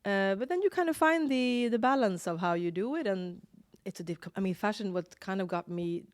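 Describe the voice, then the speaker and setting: A woman's voice: medium pitch, expressive, moderate pace, no echo, studio quality. A middle-aged woman from Sweden, a designer and illustrator, talking in a casual interview with frequent restarts and hesitations. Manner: restless, many restarts and hesitations.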